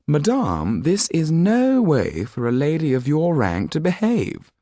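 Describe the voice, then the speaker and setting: A man's voice: fairly high pitch, huge pitch swings, unhurried, warm tone, no echo, studio quality, pitched above the performer's own voice, heavily acted. A man voicing a haughty, disdainful French marquis in an audiobook, speaking in a stiff, curt and pompous manner.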